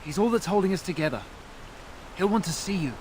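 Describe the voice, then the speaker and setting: An actor playing a young village guard in a game, speaking deferentially and quickly. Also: male voice, high-pitched, lively intonation, darkish tone, slight background noise, poor audio. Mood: eager.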